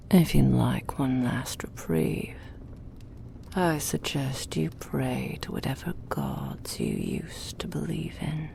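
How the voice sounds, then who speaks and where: A woman playing a cruel, possessive demonic dark mage in a menacing, formal ASMR roleplay, breathy and gravelly. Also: low voice, a little hiss or hum, heavily acted. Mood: smug menace. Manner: menacing, taunting, formal and deliberate. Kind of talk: roleplay ASMR, villain monologue.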